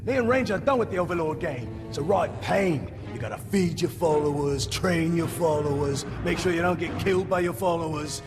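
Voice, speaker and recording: Boastful orc sidekick, swooping delivery, gravelly, colloquial game banter, music behind. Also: male voice, on the high side, lively intonation, brisk, hardly any pauses. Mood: exasperated comic. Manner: chatty, colloquial, theatrical. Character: jabbering orc follower, comic, boastful and excitable. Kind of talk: video game character acting.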